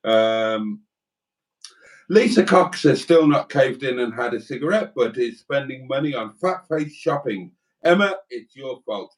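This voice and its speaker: A man's voice: medium pitch, expressive, a little slow, distant mic. A man from Wales co-hosting a live stream, chatting casually and reading viewer comments aloud with rambling asides.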